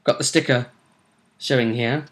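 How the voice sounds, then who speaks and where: A man's voice: mid-range voice, fairly even intonation, normal speed, fairly bright tone. A man, hosting a vidcast and giving a chatty, casual recap of a tabletop role-play tournament. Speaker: vidcast host.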